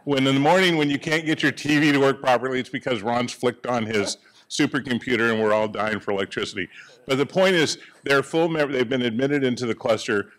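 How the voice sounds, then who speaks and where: A man in the audience of a panel, speaking on a handheld mic in a conference room, making a joking, animated comment. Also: medium pitch. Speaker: audience member, board member of an energy group.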